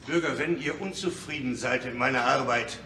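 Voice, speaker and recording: An actor playing an embattled, pedantic finance official in a radio play, formal, insistent and declamatory. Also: male voice, mid-range voice, normal speed, bright, forceful tone, slight background noise. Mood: defensive. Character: embattled, pedantic male finance delegate defending caution. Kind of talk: theatrical radio play oratory. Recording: echoey hall, distant radio play recording.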